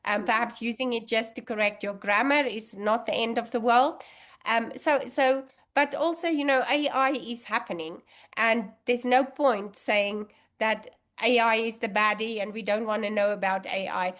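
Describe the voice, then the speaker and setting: Afrikaans-speaking woman, higher voice, chatty. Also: fairly even intonation, normal speed, a bit bright. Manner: chatty, many fillers and restarts. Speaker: guest allergy researcher. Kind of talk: casual interview talk.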